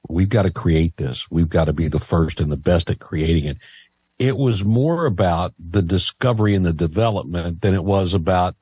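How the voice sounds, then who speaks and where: An American man works as a live talk radio host, interviewing and taking calls. His voice is on the low side and rough-edged, recorded dry and close. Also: moderate pace, a little mellow, no echo.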